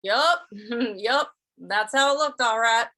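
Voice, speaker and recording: A woman's voice: on the high side, slow, bright, forceful tone. A woman playing a sly, good-natured western drifter in a radio play, folksy and hesitant, often repeating words. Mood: mock-innocent. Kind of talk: old-time radio western acting.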